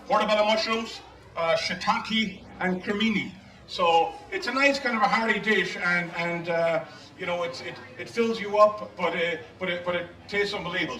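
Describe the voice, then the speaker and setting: A man's voice: sits high, distant mic. Irish guy, gaelic his first language, rambling cooking demo, echoey stage, crowd noise behind. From Ireland. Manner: relaxed, rambling, many fillers. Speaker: chef and pub owner. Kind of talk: live cooking demo at a festival. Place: outdoor festival stage.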